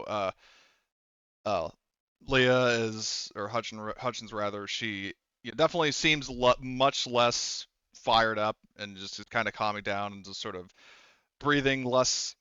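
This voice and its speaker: Male game master, casual and rambling, smooth lowish voice, improvising scenes haltingly. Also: bright, forceful tone, no echo, highs cut off.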